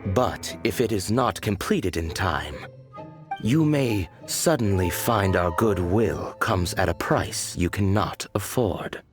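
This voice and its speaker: Icy game villain, formal menace, measured slow speech, low pitch, music underneath. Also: male voice, expressive. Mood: cold menace. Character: cold, menacing male agent of a shady organisation. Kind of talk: video game voice acting.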